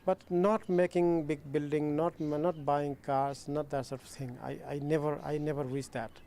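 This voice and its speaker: A man with a Nepali accent speaking unscripted in an interview, haltingly and repeating his phrases. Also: a little high, level tone, mellow, a little hiss or hum. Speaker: small business owner and manufacturer.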